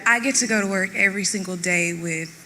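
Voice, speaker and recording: A woman gives an emphatic, gushing acceptance speech. Her voice is very bright and forceful, carrying long reverb in a theatre hall. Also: medium pitch, brisk, a little hiss or hum. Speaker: award winner. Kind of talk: emotional unscripted acceptance speech. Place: theatre stage at awards ceremony.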